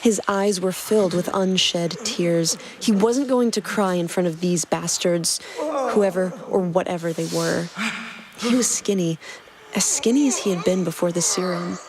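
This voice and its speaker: A woman's voice: fairly low pitch, measured pace, crowd noise. A woman doing dramatic scripted narration of fan fiction as a podcast host. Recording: music clearly behind the voice.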